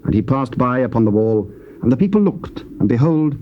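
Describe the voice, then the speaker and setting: A man narrating scripture in a measured, stately reading. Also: medium pitch, lively intonation, lo-fi sound. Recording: faint tape hiss.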